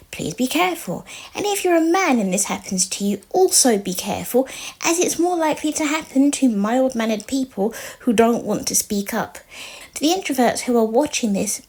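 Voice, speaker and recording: Soft-spoken woman, higher voice. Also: husky. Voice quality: quiet soft voice. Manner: earnest, pleading, sometimes drops to a whisper. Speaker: storytelling vlogger. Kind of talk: casual storytime monologue.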